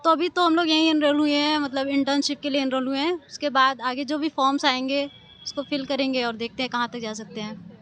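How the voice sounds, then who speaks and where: A woman's voice: high voice, fairly even intonation, a little fast, a bit bright. A young woman in her twenties, a graduate answering interview questions and explaining her study methods step by step. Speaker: gold medalist graduate.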